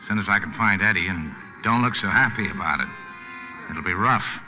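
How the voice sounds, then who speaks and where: An actor playing a tough, wry boat skipper in a 1940s radio drama, speaking in terse, deadpan lines. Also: male voice, deep voice, brisk, soft background music, exaggerated voice. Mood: wry, guarded. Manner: terse, hard-boiled, deadpan. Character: tough, wry American boat skipper, cool under threat. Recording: dull, noisy old radio recording.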